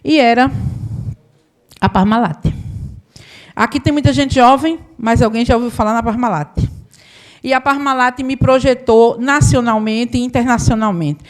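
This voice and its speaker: A woman from gives a storytelling talk to a live audience. Her voice sits somewhat high. She keeps a chatty, conversational tone and often checks in with the crowd. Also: normal speed, long pauses. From Paraíba, Brazil. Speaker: accountant and business owner giving a talk.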